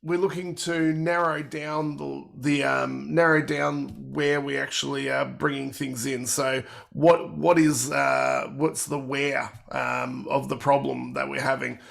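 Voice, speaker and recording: Croaky man with a cold presenting. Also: medium pitch, flat delivery, unhurried, few pauses, a bit bright. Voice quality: gravelly. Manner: explanatory, walking through product features. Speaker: workshop presenter. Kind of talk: technical webinar presentation.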